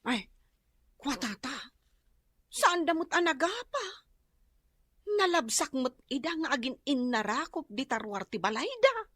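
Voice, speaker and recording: A woman playing a lively, whining, gossipy character in an Ilocano radio drama. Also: sits high, a little slow, pauses often, dry recording, studio quality, full character voice.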